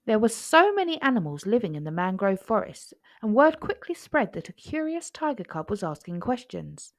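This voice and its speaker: A woman reads a children's storybook aloud. Her narration is highly expressive, with lively rises and falls, and the sound is close and dry. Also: moderate pace, no room sound.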